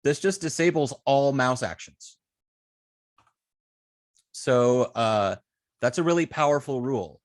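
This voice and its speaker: A man giving a live coding tutorial as an instructor, explaining step by step with halting phrasing, frequent ums and self-corrections. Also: medium pitch, no echo, studio quality. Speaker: web development instructor.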